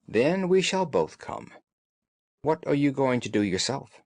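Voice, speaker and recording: A man voicing a shrewd gentleman detective in an audiobook, calm, analytical and authoritative, with formal, deliberate phrasing. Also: mid-range voice, dry recording. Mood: brisk.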